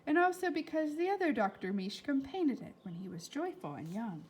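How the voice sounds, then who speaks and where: A woman voicing a melancholy, philosophical older woman doctor in a storybook, with deliberate, dramatic phrasing. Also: sits high, big pitch swings, voice pushed up. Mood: wistful.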